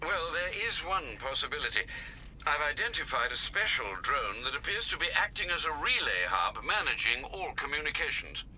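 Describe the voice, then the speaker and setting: An actor playing an older, dutiful English butler in a video game, formal, polite and precise. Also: male voice, on the high side, sing-song, moderate pace, bright, forceful tone, a little hiss or hum, heavily acted, poor audio. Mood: measured, worried. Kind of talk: video game dramatic acting.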